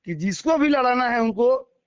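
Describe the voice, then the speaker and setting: A man's voice: very high-pitched, lively intonation, moderate pace, clean tone, no echo. A middle-aged man with a Bihari accent speaking emphatically and repetitively, in colloquial words, as a local politician in a phone interview. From Bihar, India. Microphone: phone call. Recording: dull lo-fi phone call recording.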